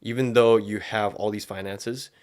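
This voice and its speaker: A man's voice: fairly low pitch, steady tone, moderate pace, a little mellow. A man hosting a podcast, giving casual, opinionated commentary in slangy language full of profanity. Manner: slangy, profanity-laden speech. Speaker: podcast host.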